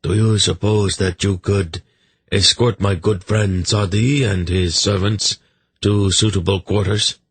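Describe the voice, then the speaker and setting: Male narrator as sardonic young fantasy king, plaintive, theatrical, raised pitch, husky, audiobook. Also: lively intonation, moderate pace. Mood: respectful. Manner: mocking, theatrical, wry.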